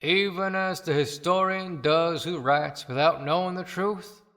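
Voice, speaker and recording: A woman's voice: fairly low pitch, unhurried, a bit bright. A woman voicing a weary, rueful male tombstone carver in a theatrical audio play monologue, with long reverb around the voice. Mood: rueful, measured.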